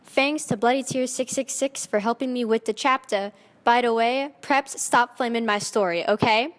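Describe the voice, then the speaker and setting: A woman's voice: a little high, normal speed, natural voice. A woman voicing a haughty, melodramatic seventeen-year-old goth vampire girl in a dramatic fan fiction reading, with emphatic teenage delivery. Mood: bratty, emphatic. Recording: echoey hall with long reverb.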